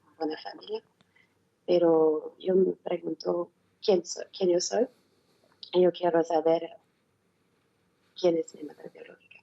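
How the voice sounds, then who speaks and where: A woman in her thirties from Belgium, a remote interview guest, speaking haltingly in simple phrases and repeating words. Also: on the low side, steady tone, creaky, muffled recording. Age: 30s.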